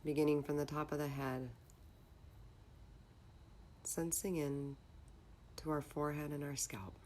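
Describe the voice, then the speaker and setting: Super deep voiced lady doing a guided meditation, calm n measured, noticeable background noise.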